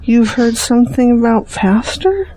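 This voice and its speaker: A woman plays a caring wife consoling her friend in an audio drama. Her highish voice is mellow, and she speaks slowly and reassuringly. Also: animated, slight background noise, low-quality recording. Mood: comforting. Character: comforting, caring wife and loyal family friend.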